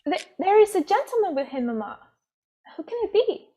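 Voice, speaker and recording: Woman as an excitable, very high-pitched girl. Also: moderate pace. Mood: excited. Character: excitable young daughter calling to mother. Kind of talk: staged reading of period drama.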